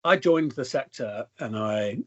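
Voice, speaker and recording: A middle-aged man, a company chief executive, answering interview questions in measured corporate phrasing full of fillers. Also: mid-range voice, animated, a bit bright. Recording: dull lo-fi sound.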